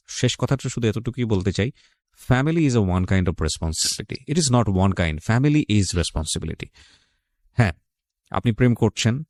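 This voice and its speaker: A man's voice: on the low side, a little mellow, no room sound. A male radio host with a Bangladeshi accent gives unscripted moral commentary in an emphatic, lecturing way, mixing in English phrases.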